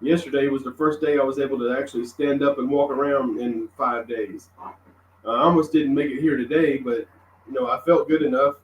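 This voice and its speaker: A man's voice: mid-range voice, steady tone, a little fast, recorded from a distance, slight background noise. A man whose voice is nearly gone after an illness, telling his story in casual chat on a live cooking stream. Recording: echoey room, heard from off-mic.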